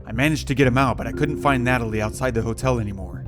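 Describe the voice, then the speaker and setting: A man's voice: mid-range voice, very fast, over music, natural voice. A man as a curt, exasperated young businessman. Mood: awkward, guarded. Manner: curt, impatient. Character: brusque, exasperated young businessman, reluctant babysitter. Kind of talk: audio drama acting.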